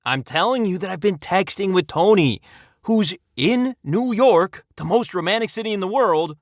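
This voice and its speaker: An actor playing a middle-aged husband and dry-witted, teasing co-conspirator in a comedic audio drama. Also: male voice, high voice, moderate pace, no room sound. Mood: conspiratorial. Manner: animated, dryly teasing.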